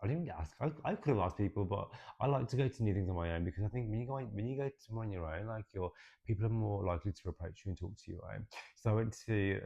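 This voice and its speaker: A young man giving a casual, expressive tarot and astrology reading, chatty and swearing now and then, with "right?" tacked onto his points. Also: lowish voice, fast talker, gravelly, lo-fi sound. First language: English.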